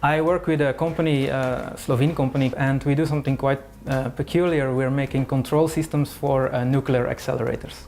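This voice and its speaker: A man's voice: mid-range voice, steady tone, fairly quick, gravelly, few pauses, darkish tone, slight background noise. A young Belgian man whose first language is Dutch, chatting in English as a guest cook with many hesitant fillers and restarts. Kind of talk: casual kitchen chat. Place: kitchen studio with frying.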